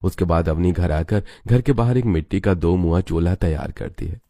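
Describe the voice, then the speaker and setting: A man's voice: low-pitched, fairly quick, mellow, no room sound, clean studio sound. A man narrating a scripted cartoon story as its storyteller.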